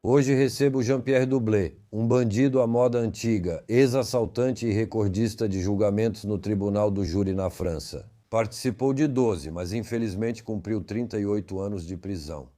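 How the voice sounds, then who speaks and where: Low, mellow male interviewer. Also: normal speed, few pauses. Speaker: interviewer, host. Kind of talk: conversational interview questioning.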